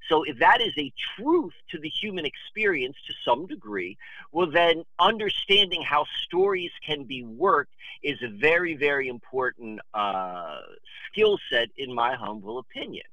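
A man in his fifties with a fairly high, bright voice and very animated pitch. He tells rambling stories as a podcast guest, excitable and emphatic, often wandering off on digressions. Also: normal speed, dry recording, low-quality recording. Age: 50s. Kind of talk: animated rambling storytelling and casual chat.

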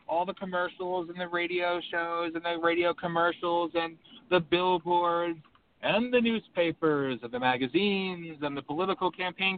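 A man's voice: sits high, gravelly. A man hosting a call-in radio show, talking in an impassioned, rambling, emphatic way. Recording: tinny phone-line audio with faint background hum.

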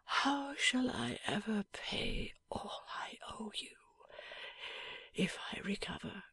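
Woman doing a hurt young husband, faint breathy whisper, slow and tender, dry close sound. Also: mid-range voice, animated, dry recording, pitched above the performer's own voice, heavily acted. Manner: slow, halting, affectionate. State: weak from injury. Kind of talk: audiobook character voice.

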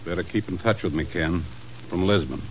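A middle-aged man playing a sceptical, excitable intelligence chief in a 1950s radio drama, blustery and incredulous. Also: sits low, brisk, lightly acted, poor audio.

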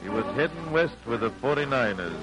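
A man's voice: mid-range voice, animated, fairly quick, natural voice, poor audio. A man playing an easygoing American frontier storyteller, narrating a 1940s radio musical in a rustic, homespun way. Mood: folksy, reminiscing. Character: easygoing folksy male frontier storyteller recalling his father's tales. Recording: vintage radio broadcast under loud music. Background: loud music.

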